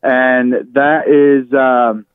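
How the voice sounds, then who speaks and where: A man with a medium-pitched voice talks casually as a radio host being interviewed. He comes through a tinny, narrow phone line. The sound is dry, without room echo. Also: flat delivery, extremely slow, smooth. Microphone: telephone.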